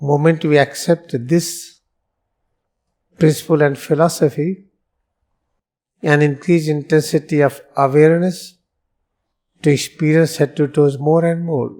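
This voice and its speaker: An Indian-accented man guides a meditation as a yoga teacher. His voice sits a little high for a man, and he speaks extremely slowly. The phrasing is solemn and deliberate, with pauses between short groups of words. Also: fairly even intonation, a little mellow. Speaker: yoga guru guiding meditation.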